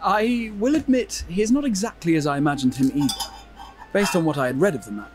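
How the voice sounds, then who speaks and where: Man as a lively, earnest young scholar-adventurer. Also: fairly high pitch, quick pace, lightly acted. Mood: thoughtful. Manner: thoughtful, polite, formal. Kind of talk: scripted video game cutscene acting.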